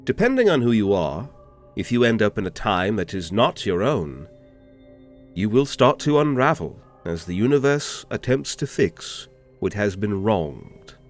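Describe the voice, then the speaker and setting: A man's voice: fairly low pitch, big pitch swings, soft background music. Man acting a refined, theatrical, swooping informant. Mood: explanatory. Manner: refined, theatrical, hesitant pauses. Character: refined, polite, world-weary male dealer of information. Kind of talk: fantasy audio roleplay acting.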